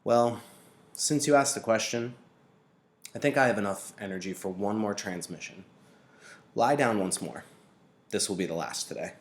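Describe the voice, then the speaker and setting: A man voicing a weary, gentle old mentor. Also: fairly low pitch, normal speed, long pauses, lightly acted. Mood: kindly, weary.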